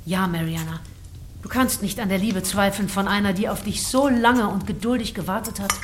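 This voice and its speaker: An actress playing a confident, seductive gentlewoman of the 19th century who confides her diary in an intimate radio drama. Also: medium pitch, normal speed, background noise, lightly acted. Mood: ardent. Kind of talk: radio drama acting, first-person diary narration. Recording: studio voice over prominent music. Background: music.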